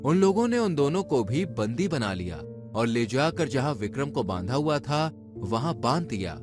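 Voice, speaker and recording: Male narrator for dramatic horror storytelling, very animated pitch, music underneath. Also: moderate pace. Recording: music behind the voice.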